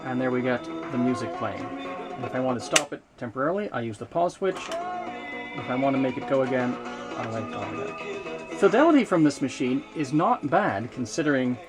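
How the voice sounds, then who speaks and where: A man giving an unscripted hands-on demonstration of vintage equipment, explaining in a measured way with hesitant pauses mid-sentence. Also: medium pitch, animated, over loud music. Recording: noticeable background noise, room ambience.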